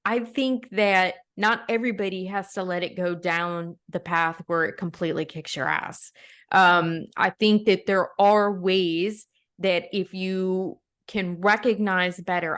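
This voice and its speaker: A middle-aged woman talking as a podcast guest. She has a mid-range voice and speaks frankly and earnestly, in a relaxed conversational way. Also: medium pitch. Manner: earnest, frank, laughs between points. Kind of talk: casual conversation.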